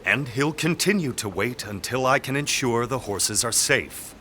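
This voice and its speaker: A man's voice: medium pitch, lively intonation, moderate pace, pushed and bright, a little hiss or hum. A man playing a brooding, dutiful aristocratic heir in a period audio drama, speaking formally and earnestly. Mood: commanding.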